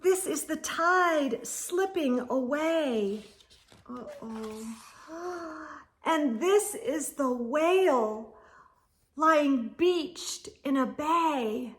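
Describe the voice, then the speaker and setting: A woman storyteller reading a children's picture book aloud in a dramatic, expressive way for young listeners. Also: high voice, extremely slow. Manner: dramatic, drops into whispers, addresses young listeners.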